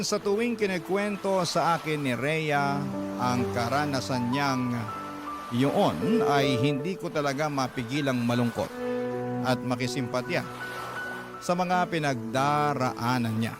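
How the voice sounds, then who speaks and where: A man's voice: medium pitch, close to an everyday voice. A man playing an earnest cook who narrates his own love story in a scripted radio drama. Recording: loud music bed behind the voice. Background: prominent music.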